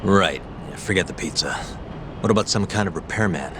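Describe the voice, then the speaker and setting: An actor playing a brash, laconic private detective in a video game, with a dryly teasing manner. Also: male voice, low-pitched, expressive, brisk, noisy background. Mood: scheming, wry. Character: dry, brash, reckless male private detective. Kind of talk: English game dub acting.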